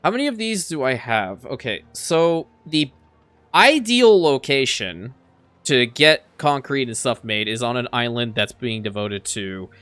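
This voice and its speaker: Bright-voiced man chatting over gameplay. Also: medium pitch, big pitch swings, a little slow. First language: Farsi. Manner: chatty, repeats words as he restarts sentences. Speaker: let's play creator. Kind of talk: casual gameplay commentary.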